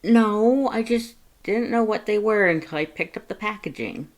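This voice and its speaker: A narrator voicing a polite young human in a fan fiction audiobook, curious and deadpan with a sly streak. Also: mid-range voice, moderate pace, pitched above the performer's own voice, lightly acted. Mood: flustered. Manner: deadpan, inquisitive.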